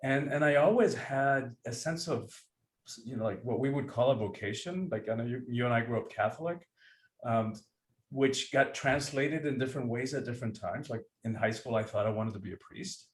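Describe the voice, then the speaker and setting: A man's voice: medium pitch, normal speed. Reflective man in an echoey room. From Cuba. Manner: reflective, earnest, searching for words. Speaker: guest author and breathwork teacher. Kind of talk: conversational interview.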